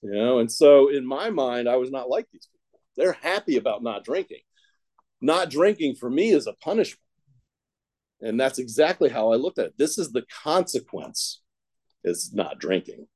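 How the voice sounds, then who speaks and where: A man's voice: mid-range voice, big pitch swings, normal speed, darkish tone. A man from Ohio telling his own recovery story in a loose, rambling way, full of "you know" fillers and self-deprecating jokes. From Ohio, United States. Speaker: speaker sharing a recovery story. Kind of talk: casual personal storytelling.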